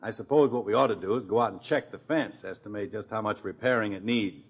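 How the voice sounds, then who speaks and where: An actor playing a genial, self-satisfied middle-aged father in a 1950s radio sitcom, lecturing deliberately about his plans. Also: lowish voice, breathy edge. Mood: earnest, instructive. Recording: dull old radio broadcast recording.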